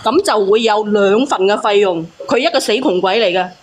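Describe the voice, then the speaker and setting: A woman's voice: medium pitch, moderate pace, crowd noise. A woman arguing on a live panel in a blunt, interrogating, emphatic way. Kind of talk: argumentative live debate. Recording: clear background noise, clicks.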